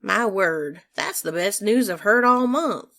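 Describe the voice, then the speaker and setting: A woman's voice: medium pitch, expressive, measured pace, a bit bright. A woman playing a chatty, bossy, motherly survivor in a dubbed comic drama. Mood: delighted, bossy.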